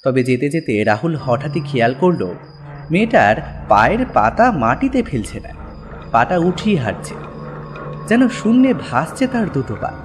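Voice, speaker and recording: A man's voice: fairly high pitch, very animated, normal speed, soft background music. A man narrating a scripted horror story in a dramatic style.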